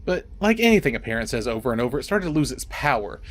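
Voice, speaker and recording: A man's voice: medium pitch, fast talker. A man hosting a horror game, mixing playful, wisecracking commentary with story reading. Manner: animated, wisecracking.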